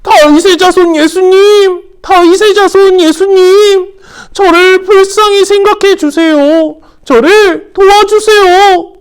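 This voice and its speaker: A desperate blind beggar man, pitched high above a normal speaking voice, calling out slowly and loudly, as a woman storyteller puts him on. He stretches his words into long pleading cries in a storybook telling. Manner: loud, drawn-out pleading cries.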